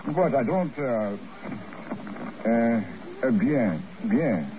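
An actor playing an obliging, fussy French-speaking croupier in a 1950s radio drama, hesitant, polite and formal. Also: male voice, medium pitch, very animated, extremely slow. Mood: reluctant, deferential. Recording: noisy muffled old radio recording.

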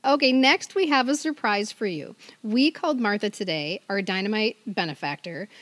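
A woman's voice: mid-range voice, lively intonation, normal speed, a bit bright. A middle-aged woman co-hosting a podcast in casual chat, tossing in playful quips. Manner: playful, quipping. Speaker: podcast co-host.

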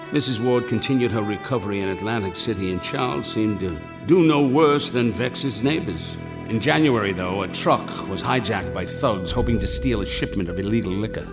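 Low-voiced, grave family doctor. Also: male voice. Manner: formal, deliberate storyteller. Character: grave, measured male family doctor narrating his case. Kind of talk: radio drama narration and acting. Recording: music behind the voice.

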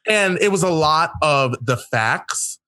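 A man speaking as a guest in a casual discussion, earnest and reflective, often pausing to rephrase. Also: on the high side, expressive, bright, forceful tone, dry recording.

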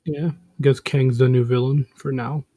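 Young male co-host, blunt and slangy, dark husky tone, dry close recording. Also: medium pitch, flat delivery, moderate pace, dry recording. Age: young adult. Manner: slangy and blunt, with stammered restarts. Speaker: podcast co-host. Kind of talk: casual chat among friends.

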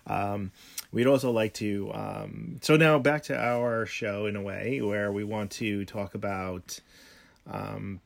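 A man's voice: on the low side, fairly even intonation, slow, creaky. A middle-aged man co-hosting a casual home podcast, rambling with many ums and restarts. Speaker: podcast co-host.

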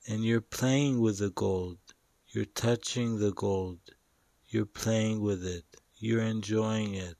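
A man guiding a meditation in short, deliberate phrases with long pauses between them. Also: lowish voice, dark tone, no room sound. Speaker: meditation guide. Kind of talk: guided meditation narration.

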